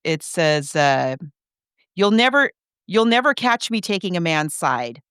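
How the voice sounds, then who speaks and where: A woman hosting a podcast in casual conversation, rephrasing as she goes in an animated, halting way. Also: lowish voice, clean tone, no room sound, studio quality. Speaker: podcast host. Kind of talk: casual film and book discussion.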